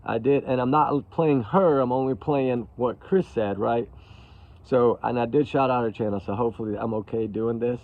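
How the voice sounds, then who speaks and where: A man's voice: medium pitch, moderate pace. A man chatting casually to his viewers as a host of live commentary, calling them y'all. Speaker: online true-crime commentator and host. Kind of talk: casual live commentary, reacting to played clips. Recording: dull lo-fi livestream audio.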